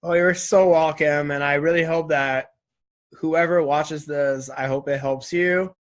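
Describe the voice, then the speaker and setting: A man's voice: fairly high pitch, steady tone, unhurried. A man in his twenties talking casually and reflectively as an interview guest, earnest, often saying "like" and "right?". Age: 20s.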